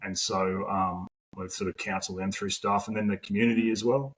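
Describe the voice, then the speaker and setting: A man's voice: on the low side, steady tone, normal speed, husky, low-quality recording. An Australian man in his forties, a podcast guest talking casually, rambling with lots of fillers and the odd swear word. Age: 40s. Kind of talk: casual conversational interview.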